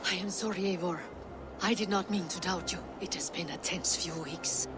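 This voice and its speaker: A woman's voice: fairly low pitch, fairly even intonation, normal speed, natural voice. An actress playing a wary, rattled but resolute woman in a video game, with outdoor noise behind her. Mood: apologetic, guarded. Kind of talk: video game dramatic acting.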